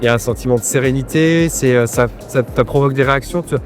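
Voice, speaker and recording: A man's voice: medium pitch, fast, faint music behind. A man interviewing an artist in a friendly, informal way, often repeating words as he asks questions. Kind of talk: casual interview at an art fair.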